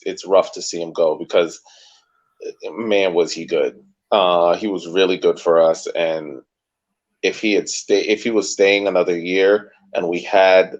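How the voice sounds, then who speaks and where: Brief: deep male co-host, stumbling and repeating, muffled lo-fi recording. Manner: halting, repeats words. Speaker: podcast co-host. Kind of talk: casual sports chat.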